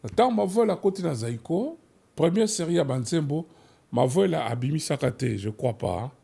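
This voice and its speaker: Male host, Congolese accent of Kinshasa; relaxed, dark timbre with audible fry, conversational storyteller. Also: a little high, a little fast. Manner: switches between French and Lingala. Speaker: host of a music history programme.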